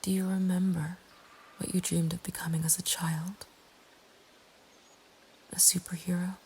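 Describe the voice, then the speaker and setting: A woman narrating a scripted horror story in a breathy ASMR whisper, with a hushed, deliberate delivery. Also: fairly low pitch, little pitch movement. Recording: faint ambient background noise. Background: soft nature ambience.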